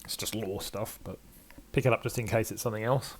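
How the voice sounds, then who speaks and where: An older man giving low-key, terse, matter-of-fact commentary while playing a video game. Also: steady tone, a little fast, a little hiss or hum.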